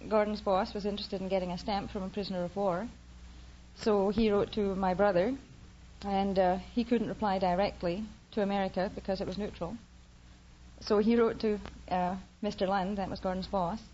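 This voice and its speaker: A woman's voice: mid-range voice, steady tone, moderate pace, a little mellow. A young woman with a Scottish accent, a contestant telling her story conversationally on a quiz show. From Isle of Man and Glasgow. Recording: muffled, noisy vintage radio recording.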